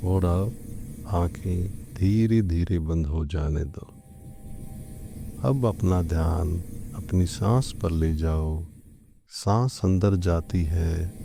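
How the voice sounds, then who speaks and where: A man narrating a guided meditation in a calm, unhurried, gently instructive way, with long pauses between phrases. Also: deep voice, vocal fry.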